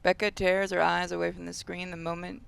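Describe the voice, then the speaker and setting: Female gaming host, casual commentary and read-aloud narration, smooth voice with heavy fry. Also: fairly low pitch, flat delivery, brisk, no room sound.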